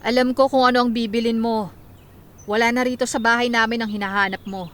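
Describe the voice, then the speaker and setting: A woman's voice: on the high side, steady tone, brisk, fairly bright tone, lightly acted. A woman playing a curt, suspicious wife in a radio drama, brusque and firm. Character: curt, suspicious wife turning away a customer.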